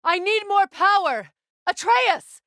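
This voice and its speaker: A proud Norse warrior goddess from a video game. She is a stern, grieving mother with a commanding, measured delivery and dry wit. The sound is dry and free of room. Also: very high voice, animated, bright, forceful tone, no echo, exaggerated voice. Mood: urgent, commanding.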